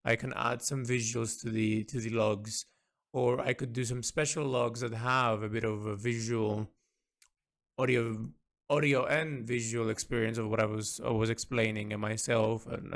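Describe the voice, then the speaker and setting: A man speaking in a reflective, unscripted audio-log monologue, earnest and introspective, halting and repeating words as he thinks aloud. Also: mid-range voice, flat delivery, gravelly. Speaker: artist recording an audio log.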